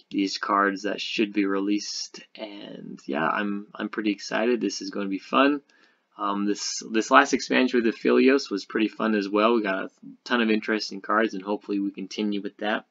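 A man giving casual, unscripted gaming commentary as a video host, speaking haltingly as he thinks aloud. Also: lowish voice, gravelly, fairly bright tone, muffled recording.

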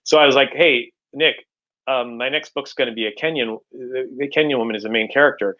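A middle-aged man answering interview questions as an author, halting and repeating words as he explains, on a video call. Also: mid-range voice, sharp tone. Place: indoors at home, room with bookshelves, on a video call. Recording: slightly dull video-call audio.

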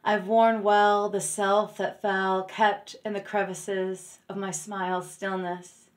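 A middle-aged woman reads her own poetry aloud in a mid-pitched voice. She moves slowly and deliberately, shaping each phrase for drama, close to a handheld phone. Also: little pitch movement. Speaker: poet. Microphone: phone at arm's length.

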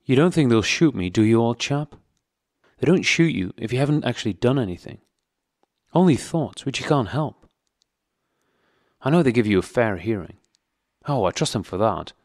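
A man's voice: mid-range voice, animated, moderate pace, pauses often, darkish tone, no room sound, clean studio sound, voice pushed up. A man voicing a frightened, pudgy middle-aged prisoner who pleads eagerly and nearly blubbers. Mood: pleading.

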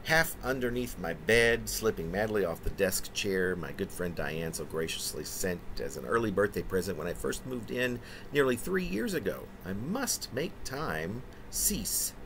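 A man in his fifties reading his own poem dramatically, in halting phrases with conversational asides, theatrical in delivery. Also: low voice, fairly bright tone, a little hiss or hum, rough recording. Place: small room indoors.

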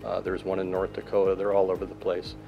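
A man in his fifties, an air traffic control manager, answering interview questions in a control tower in a plain, measured way with many uh's. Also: low-pitched, completely flat delivery, vocal fry, dull audio, music in the background. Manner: plain, measured, fills pauses with uh and um. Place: control tower cab, indoors, large windows. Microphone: handheld mic held by interviewer.

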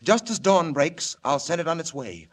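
A man's voice: fairly high pitch, lively intonation, moderate pace. An actor playing an anguished scientist father from a doomed planet, grave and melodramatic, in old 1940s radio serial style. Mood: grave, tender.

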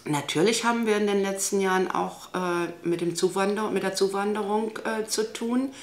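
A woman's voice: mid-range voice, steady tone, normal speed. A woman in her sixties explaining school matters in a matter-of-fact way, with fillers, in a classroom. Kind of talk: interview answer. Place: classroom indoors. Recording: echoey room.

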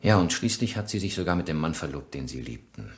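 A man's voice: extremely low pitch, animated, fast. An actor playing a shrewd, relentless French police inspector in a German radio crime drama, ironic and insistent as he questions. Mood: firm, accusing. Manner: probing, ironic, insistent interrogator.

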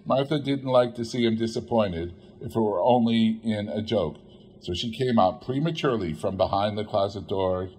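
Male audiobook narrator, lower register, husky grain, expressive literary reading.

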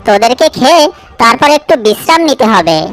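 A woman's voice: on the high side, sing-song, a little fast. A woman voicing a boastful, hungry fox villain in a children's cartoon, taunting and bragging. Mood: threatening.

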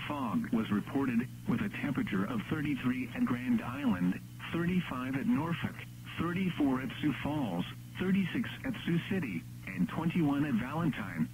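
A synthetic male announcer reading scripted weather bulletins in a measured, impersonal text-to-speech delivery. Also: fairly high pitch, big pitch swings. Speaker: automated weather radio announcer. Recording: noisy radio broadcast with hum.

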